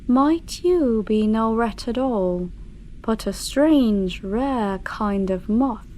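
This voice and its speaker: Female actor for ancient eldritch she-dragon, ASMR fantasy. Mellow, dark timbre, imperious and scornful, deliberate archaic phrasing, soft background ambience. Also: medium pitch, slight background noise. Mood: pondering, testing. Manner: grandiose, archaic, deliberate, breathy whispered passages. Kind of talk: slow ASMR fantasy monologue.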